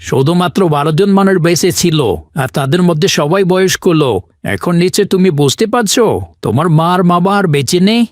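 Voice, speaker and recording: Cartoon doctor, male actor: calm, fatherly, high-pitched, dry sound. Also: brisk, no room sound. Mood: solemn. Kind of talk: cartoon voice acting.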